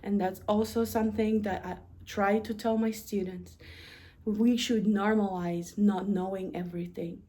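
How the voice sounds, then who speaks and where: A woman's voice: mid-range voice, steady tone, a little slow, mellow. A woman in her thirties from Russia with a Russian accent, a university administrator giving an earnest, prepared personal story talk, stressing her lists in rhythmic beats.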